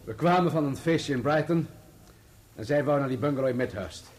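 An actor playing a defensive, self-justifying journalist giving clipped testimony in a Dutch radio play. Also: male voice, medium pitch, brisk, a little mellow, natural voice. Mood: reluctant, self-justifying. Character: defensive male journalist testifying in his own trial.

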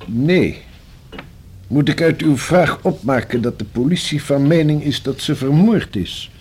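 A male actor in a Dutch radio play as a guarded, discreet professional man, speaking in a stiff, formal, measured way with a husky voice. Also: on the high side, animated, darkish tone, a little hiss or hum.

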